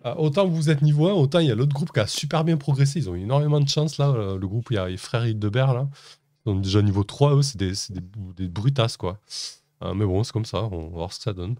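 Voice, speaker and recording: A man's voice: mid-range voice, animated, fast talker, mellow, no echo. A man narrating as game master of a tabletop game, hesitant, with many fillers and restarts.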